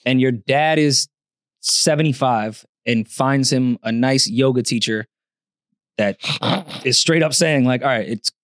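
A man's voice: medium pitch, measured pace, fairly bright tone, dry recording, clean studio sound. A man co-hosting a podcast, bluntly playing devil's advocate with crude jokes. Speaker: podcast co-host. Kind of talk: casual chat.

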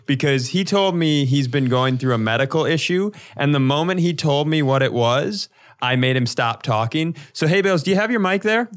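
A man with a slightly high voice co-hosts a comedy podcast. He talks casually, teasing and joking crudely as he banters. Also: animated, normal speed.

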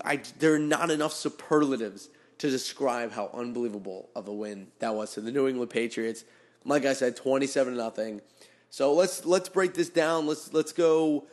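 A man speaks as a solo sports podcast host in a medium-pitched voice. He recaps with emphatic, hyperbolic excitement, often breaking off and restarting his phrases.

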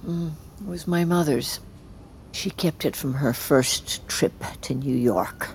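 An actress playing a polite, quietly knowing secretary in an audio drama, courteous and careful in delivery. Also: female voice, low-pitched, slow pace, vocal fry, slight background noise, full character voice. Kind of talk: naturalistic audio drama acting.

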